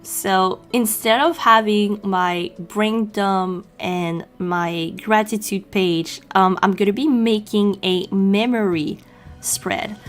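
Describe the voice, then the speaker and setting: A young woman from Quebec with a French-Canadian accent, a native French speaker, chatting casually in a voice-over as she draws, often pausing with ums and you-knows. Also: mid-range voice. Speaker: journaling channel host. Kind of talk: casual voice-over narration while drawing.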